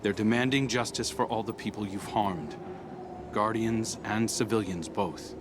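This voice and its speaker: An actor playing a grave, duty-bound leader in a video game cutscene, speaking solemnly and earnestly. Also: male voice, lowish voice, a little slow, noisy background, natural voice. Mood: solemn, subdued. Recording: music behind.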